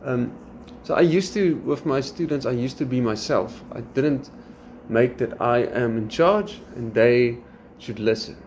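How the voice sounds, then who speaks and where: A man's voice: medium pitch, measured pace, few pauses. South African man with an Afrikaans background. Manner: animated, quotes his students. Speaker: podcast host. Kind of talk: casual chat. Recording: slightly dull sound with faint background noise.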